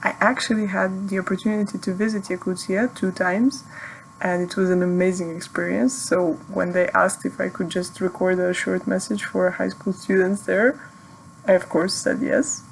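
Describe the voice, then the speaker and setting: Young Serbian woman, native Serbian speaker, friendly advice tone, slight fry, faint hiss. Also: medium pitch, little pitch movement, moderate pace, poor audio. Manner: friendly, thoughtful, with light laughs. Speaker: PhD student giving advice. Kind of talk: recorded video message.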